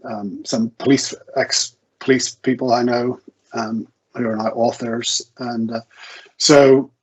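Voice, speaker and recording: A man's voice: mid-range voice, steady tone, slow, a little mellow, husky. A man speaking as a guest author in an interview, conversational, hesitant and often restarting his sentences as he thinks aloud. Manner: hesitant, self-correcting, stammering restarts. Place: online video event. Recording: muffled, lo-fi online call audio.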